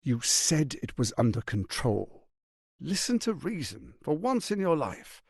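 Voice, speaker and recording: A scheming tyrant lord from a fantasy video game, silver-tongued and smug. His pitch swoops dramatically as he savours each word at an unhurried pace. The delivery is pompous and menacing, recorded very dry and close. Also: very animated, slightly rough, no echo, full character voice. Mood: scornful. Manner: pompous, silky, menacing, theatrically emphatic.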